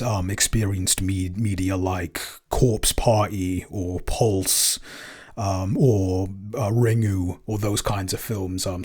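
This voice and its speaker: A man chatting casually as a podcast writer in a Q&A, speaking in a halting, hesitant way with stammered word starts. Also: on the low side, darkish tone, slightly rough. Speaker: podcast writer and co-creator answering listener questions. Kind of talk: casual Q&A chat.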